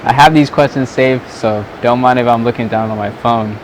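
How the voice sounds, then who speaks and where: A man's voice: medium pitch, steady tone, normal speed, low-quality recording. A young man from Southern California, a vlogger and photographer, chatting loosely to the camera outdoors at sunset, rambling with lots of "like"s. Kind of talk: casual chat to camera. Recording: outdoor noise with traffic.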